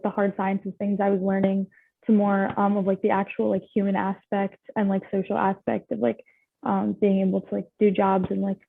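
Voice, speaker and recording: A young woman with a gravelly, flat voice talks as a student on a panel, rambling with constant "like"s. The sound comes over a tinny, phone-like line and is very dry. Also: medium pitch, dry recording. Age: young adult. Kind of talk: casual panel chat on a video call.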